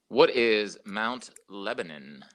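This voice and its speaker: A woman's voice: rumbling low, animated, slow pace. A woman chatting casually as a quiz contestant, joking at her own expense. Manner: self-deprecating, joking. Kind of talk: casual chat.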